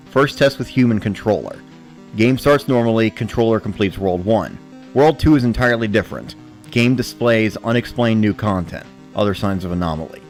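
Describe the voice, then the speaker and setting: A man giving a measured, matter-of-fact first-person narration of a scripted story. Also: on the low side. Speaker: narrator of a dramatic reading.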